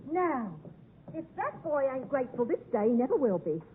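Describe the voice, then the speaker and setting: An actress playing a bossy, sarcastic blacksmith's wife who scolds and bullies, in 1950s radio drama style. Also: female voice, high voice, sing-song, moderate pace, slight background noise. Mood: scolding, bossy. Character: sharp-tongued, bullying blacksmith's wife, scolding elder sister. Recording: tinny, narrow 1950s radio broadcast.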